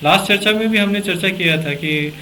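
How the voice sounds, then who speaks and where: A man with a bright, forceful and slightly high voice hosts a political discussion. He explains things at length, searching for words as he goes. There is some noise behind him. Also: fairly quick. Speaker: interviewer and host of a political talk show. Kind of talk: conversational political discussion in interview format.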